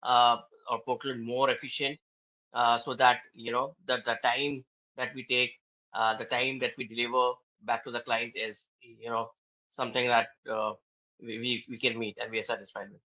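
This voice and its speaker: A man walks listeners through a workflow on a webinar, stopping often for fillers. His voice sounds bright and forward. The call audio comes through dull and lo-fi. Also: medium pitch, level tone, normal speed. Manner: hesitant, frequent fillers. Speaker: webinar speaker. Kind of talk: explaining a business process. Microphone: video call.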